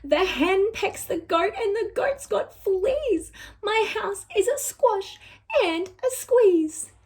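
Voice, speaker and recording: A woman voicing a fussy, dramatic little old lady who wails and exclaims in a high voice. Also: expressive, slow pace, a little mellow, heavily acted. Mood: fretful wailing.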